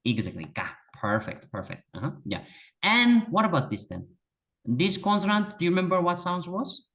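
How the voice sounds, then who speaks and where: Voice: mid-range voice, sing-song, moderate pace, fairly bright tone. A native Korean speaker with a Korean accent, teaching a beginner one-on-one in a patient, encouraging way and repeating words for emphasis. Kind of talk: one-on-one language lesson.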